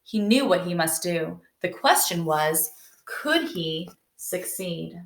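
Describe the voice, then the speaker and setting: A woman's voice: on the low side, expressive, slow pace. A woman reading a children's story aloud, narrating expressively. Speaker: storybook reader. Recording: roomy indoor echo.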